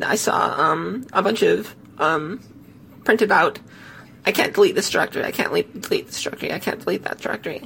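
A woman podcast host with a hoarse, failing voice tells a tech story casually, in a halting, self-correcting way. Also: mid-range voice, a bit bright, a little hiss or hum, low-quality recording. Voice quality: hoarse, gravelly. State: hoarse, losing voice.

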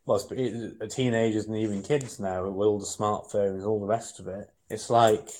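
A man co-hosting a podcast in rambling casual chat, halting and full of restarts. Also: lowish voice, steady tone, darkish tone, a little hiss or hum. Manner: halting, many restarts and fillers. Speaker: podcast co-host. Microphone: headset.

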